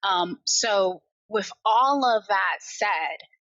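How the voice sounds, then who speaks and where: A woman's voice: medium pitch, lively intonation, extremely slow, fairly bright tone, dry recording, dull audio. A woman with an American accent talking passionately and chattily about personal reflections as a podcast guest. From Barbados and the US. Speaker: podcast guest, account manager.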